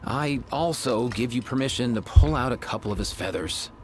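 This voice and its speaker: A man's voice: mid-range voice, moderate pace, a little hiss or hum, natural voice. A man voicing a casual, wry young friend who talks slangily in a video game. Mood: exasperated.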